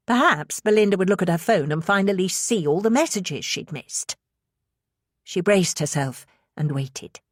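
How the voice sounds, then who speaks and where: A woman's voice: lowish voice, breathy edge, dry recording, studio quality. A woman reading scripted suspense fiction as an audiobook narrator.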